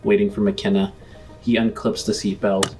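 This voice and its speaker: A man narrating a tabletop game as game master, in deliberate phrases with frequent pauses. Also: lowish voice, flat delivery, a little mellow, soft background music. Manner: deliberate, pausing often between phrases.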